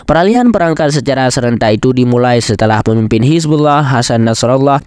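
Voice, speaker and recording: A man's voice: mid-range voice, darkish tone, dry recording, studio quality. A man reading scripted news narration in a formal, explanatory manner.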